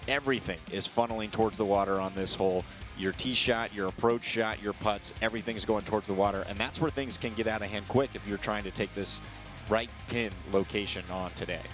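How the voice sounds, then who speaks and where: A man's voice: lowish voice, a bit bright. A man with an American accent giving conversational live golf commentary as a color analyst.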